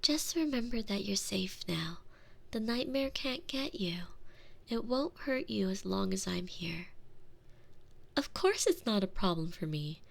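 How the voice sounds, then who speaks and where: A woman playing a protective, gently dominant girlfriend in an intimate ASMR roleplay, soothing and doting, often slipping into breathy whispers. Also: medium pitch, expressive, measured pace. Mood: tender reassurance.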